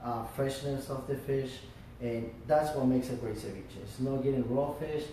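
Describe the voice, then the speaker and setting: A man's voice: medium pitch, moderate pace, mellow, a little hiss or hum. A man working as a chef, walking through a cooking demonstration step by step, with many fillers along the way. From Florida. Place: restaurant. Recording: echoey room, mic far off.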